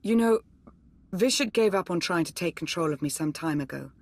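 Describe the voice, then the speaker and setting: A woman's voice: lowish voice, animated, fairly quick. Composed, calculating woman advisor. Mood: composed resolve. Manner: composed, articulate, formal. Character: cool, pragmatic female Sith advisor, resolute and calculating. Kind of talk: video game cutscene acting.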